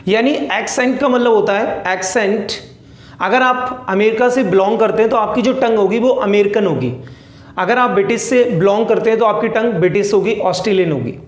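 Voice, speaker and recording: High-voiced Indian English teacher in an echoey room. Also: male voice, moderate pace. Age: 30s. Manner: asks the class questions and answers them himself. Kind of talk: spoken English lesson, mixing Hindi and English.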